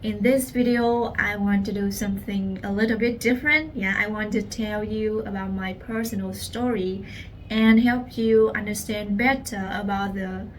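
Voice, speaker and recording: A young Vietnamese woman, a language teacher, telling her personal story in English with a Vietnamese accent, speaking slowly and earnestly to the viewer. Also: mid-range voice, recorded from a distance, rough recording. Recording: voice a little off-mic, faint room noise.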